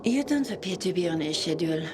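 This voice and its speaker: Haughty gatekeeper secretary, played by an actress, deep female voice, formal and aloof, for a video game. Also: lively intonation, fast talker, natural voice. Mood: aloof, dismissive. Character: haughty, guarded female secretary to a powerful boss.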